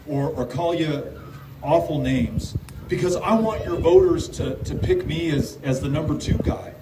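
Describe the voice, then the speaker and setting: A man answering audience questions at a town hall, speaking off the cuff in an earnest, halting way with repeated words. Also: fairly high pitch. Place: park pavilion, community meeting. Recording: roomy, distant live-stream sound, loud background noise.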